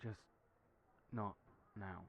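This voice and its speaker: A man with a somewhat low voice speaks gently and hesitantly in an audio roleplay, often stammering and trailing off. His delivery stays quiet and reserved. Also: fairly even intonation, drawn-out words, phone-quality sound. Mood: reluctant. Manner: soft-spoken, hesitant, stammering.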